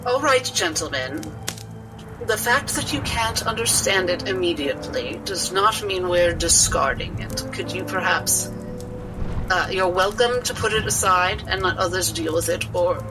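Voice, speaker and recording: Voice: mid-range voice, lively intonation, a little slow, music in the background, low-quality recording. A player speaking in character in a formal, articulate, lecturing way. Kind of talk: in-character tabletop play.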